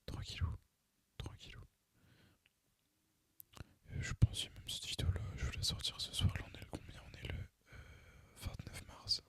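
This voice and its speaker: A man's voice: moderate pace, pauses often. Intensely whispering young Frenchman. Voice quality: whispered, unvoiced. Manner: relaxed, slangy, hesitant. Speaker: ASMR gaming creator. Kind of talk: ASMR, casual rambling chat over gameplay.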